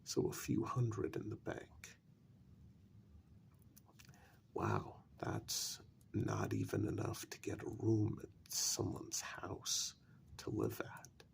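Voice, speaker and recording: Guy doing a calm cold male vampire for asmr roleplay, really gravelly soft voice, slow and persuasive. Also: on the low side, heavily acted. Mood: cold, reasoning. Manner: slow, deliberate, pausing between phrases. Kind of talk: soft-spoken ASMR role-play.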